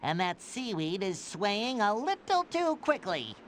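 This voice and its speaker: An actor playing an eager, naive, childlike sea sponge in a cartoon game, with a squeaky, nasal voice. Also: male voice, big pitch swings, a little slow, heavily acted. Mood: watchful. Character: excitable, naive young male sea sponge. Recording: loud noise behind the voice.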